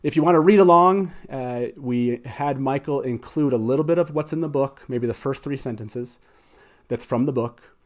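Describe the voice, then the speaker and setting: A man in his forties hosts a show in a casual, conversational way. His voice sits at an average male pitch, picked up by a studio mic. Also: moderate pace. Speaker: show host. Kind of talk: casual talk introducing a reading. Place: small wood-panelled studio. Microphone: close studio microphone on boom arm.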